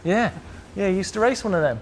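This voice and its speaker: A high-pitched British man chatting casually on a factory tour, quick with a joke and a laugh. He speaks into a lapel mic, and a faint hum of the space sits under his voice. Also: animated, rough recording. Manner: jokey, teasing, laughs often. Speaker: host. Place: warehouse and outdoors at a factory.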